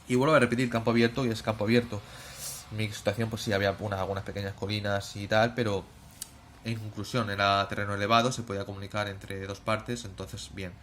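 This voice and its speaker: A man's voice: lowish voice, a bit bright, slight background noise. A man with an accent from Spain giving an informal, didactic explanation to viewers, often checking in with short tag questions. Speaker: prepper video presenter.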